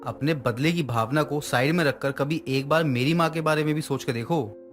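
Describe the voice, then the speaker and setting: Man acting earnest, higher-voiced young brother. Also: normal speed, soft background music, lightly acted. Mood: reproachful. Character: protective young stepbrother, principled and reproachful. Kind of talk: dramatized moral story acting.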